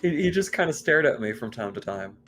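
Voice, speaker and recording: A man chatting casually on a livestream in a halting, stammering way. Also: medium pitch, sing-song, a bit bright. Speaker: livestream participant. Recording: music playing behind the voice.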